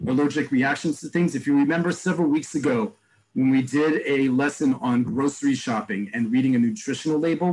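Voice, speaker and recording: A man teaching an online class, explaining terms and repeating each word slowly. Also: medium pitch, a little mellow, breathy edge, low-quality recording. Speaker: Hebrew teacher. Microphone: video call.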